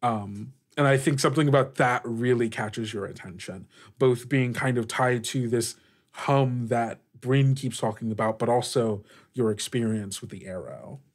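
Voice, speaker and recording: A man works as game master, improvising narration for a tabletop game. His mid-pitched voice moves haltingly, with many fillers as he searches for the next phrase.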